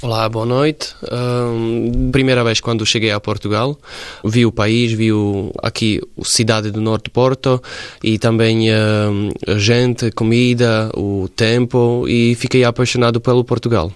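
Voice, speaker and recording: A man in his forties from Slovakia, speaking Portuguese with a Slovak accent as a radio interview guest, pausing often to search for words. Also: medium pitch, level tone, clear, resonant tone, no room sound. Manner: halting, searching for words in a second language. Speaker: interview guest, former footballer.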